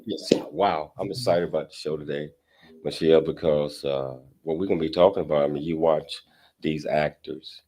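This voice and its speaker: An American man hosting a casual talk show in a halting, rambling way with repeated words. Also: extremely low pitch, steady tone, mellow.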